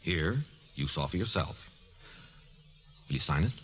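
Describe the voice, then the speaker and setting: Earnest, bright-voiced 1940s insurance man. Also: a bass voice, expressive, faint music behind, exaggerated voice. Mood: subdued, persuasive. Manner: deliberate, instructive, insistent. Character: earnest, businesslike male insurance adjuster. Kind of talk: 1940s radio drama acting. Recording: noisy vintage 1940s radio recording.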